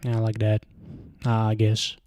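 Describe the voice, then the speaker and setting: Young Bulgarian-accented guy streaming from home, unhurried, dry close handheld mic, ums and restarts. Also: on the low side, monotone, a little mellow, no room sound. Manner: rambling, hesitant, many fillers and restarts. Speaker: live streamer. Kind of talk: casual live stream chat. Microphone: handheld microphone close to mouth.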